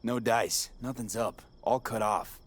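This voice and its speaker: An actor voicing a calm male mercenary in a video game, answering in short, laconic lines. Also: mid-range voice, measured pace, close to an everyday voice. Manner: terse, laconic replies.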